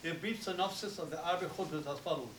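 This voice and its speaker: A man from Guyana with a Guyanese accent, officiating a wedding and giving a solemn, formal sermon with rapid Arabic recitation. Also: fairly high pitch, roomy sound, far from the mic, noisy background.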